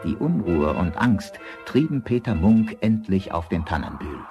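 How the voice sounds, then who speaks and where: A man narrating a fairy tale as a grave storyteller, measured and dramatic. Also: low-pitched, sing-song, music in the background.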